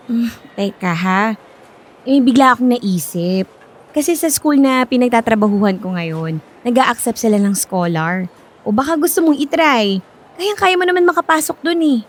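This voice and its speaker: An actress with a Filipino accent playing a supportive, chatty former classmate in a radio drama, coaxing and reassuring. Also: female voice, fairly high pitch, animated, normal speed. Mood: coaxing, helpful. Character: encouraging, chatty former classmate, a woman working at a school.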